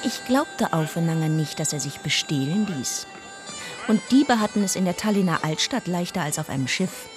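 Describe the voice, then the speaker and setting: An actress playing a tender, wistful deaconess who is an inspector's partner, in a radio drama. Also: female voice, lowish voice, lively intonation, normal speed, over music, natural voice. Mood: reflective narration. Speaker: actress, also narrating the story. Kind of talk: German radio drama dialogue and narration.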